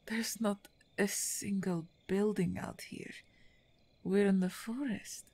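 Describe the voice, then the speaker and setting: A woman playing a big, wounded half-orc in an ASMR roleplay, weary and halting, breathy and often whispering, weak with pain. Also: medium pitch, dark tone, heavily acted.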